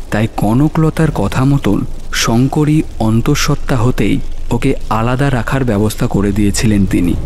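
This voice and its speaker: A man's voice: mid-range voice, darkish tone. Male narrator for suspense audio story: mid-range voice, dramatic scripted read, music bed underneath. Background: background music.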